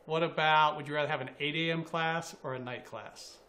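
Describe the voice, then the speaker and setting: A man's voice: a little high, fairly even intonation, a little fast, sharp tone. Male host, college sports interview, conversational, uh fillers, faint room noise.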